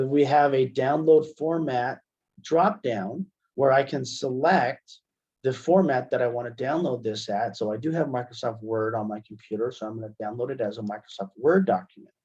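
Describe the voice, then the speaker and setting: Muffled, mellow American man teaching software. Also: mid-range voice. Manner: explanatory, step-by-step. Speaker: assistive technology trainer. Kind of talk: casual webinar software demonstration. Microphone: headset.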